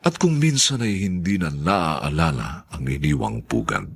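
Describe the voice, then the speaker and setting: A man announcing and narrating a radio drama in a solemn, measured, theatrical style. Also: extremely low pitch, lively intonation, creaky, husky.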